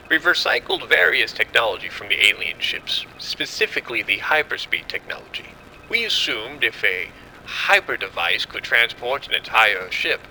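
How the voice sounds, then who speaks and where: A man playing a confident scientist in an audio drama, explaining in a measured way. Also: mid-range voice, vocal fry, bright, forceful tone, a little hiss or hum, exaggerated voice, rough recording. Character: confident male scientist running a secret military experiment.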